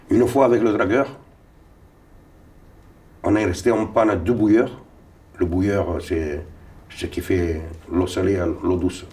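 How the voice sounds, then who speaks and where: Older man with a Sicilian mother tongue, low voice. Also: steady tone, slow pace, pauses often, warm tone. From Tunisia. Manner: rambling, repeats and restarts words. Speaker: interviewee. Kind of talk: recounting memories. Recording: voice a little far from mic.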